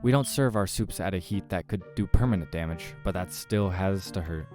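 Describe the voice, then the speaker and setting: A man with a fairly low voice narrates a horror visual novel as he plays it, reading the story aloud. Music plays along behind him. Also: normal speed. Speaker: video game let's player reading all parts aloud.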